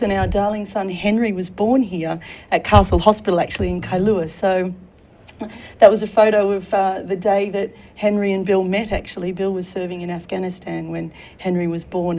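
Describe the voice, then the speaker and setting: A woman's voice: mid-range voice, little pitch movement, normal speed, rough recording. An Australian woman in her forties, an enthusiastic career consultant talking as a guest in a studio interview. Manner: warm, enthusiastic, laughs often.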